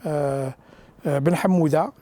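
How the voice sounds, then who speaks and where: An older man, a former minister, recounting his career in an interview, hesitant with frequent restarts and pauses. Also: a little high, dark tone. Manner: halting, many fillers and restarts.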